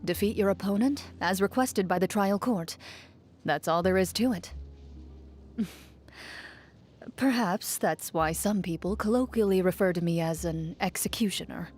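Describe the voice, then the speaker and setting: A woman playing a composed, dutiful young female champion duelist in a video game, speaking in a formal, measured, reserved way. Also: medium pitch, darkish tone, lightly acted. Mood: stern, matter-of-fact. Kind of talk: video game character voice lines, reflective monologue. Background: music under the voice.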